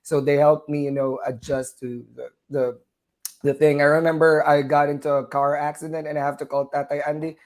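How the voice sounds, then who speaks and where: Man, from the Philippines, Southern US twang, nurse guest, casual interview, fillers and laughter, slightly high voice. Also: little pitch movement, brisk, darkish tone. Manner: chatty, frequent fillers, laughs between phrases. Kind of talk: conversational interview.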